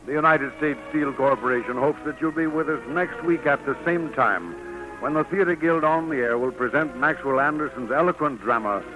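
A man's voice: on the high side, moderate pace, over music, poor audio. A man announcing formally on a 1940s radio program. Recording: vintage 1940s radio broadcast.

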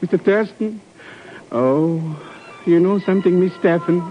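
A cowardly, ingratiating sidekick from an old radio drama. His voice is very high, pleading and excitable. The recording is muffled and noisy. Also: unhurried. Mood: sheepish. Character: cowardly, wheedling male hanger-on, eager self-appointed helper. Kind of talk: 1950s radio drama acting.

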